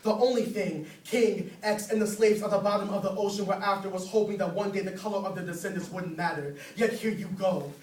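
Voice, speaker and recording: A man's voice: high-pitched, fairly even intonation, fairly quick, hardly any pauses. A man performing slam poetry as a spoken word poet, with a rhythmic, rhyming, declamatory delivery. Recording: roomy, distant mic, faint noise.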